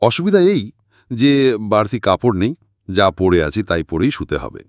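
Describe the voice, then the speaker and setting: Very animated low-voiced male storyteller. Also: a little slow, no room sound, clean studio sound. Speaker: audiobook narrator. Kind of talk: dramatic scripted story narration.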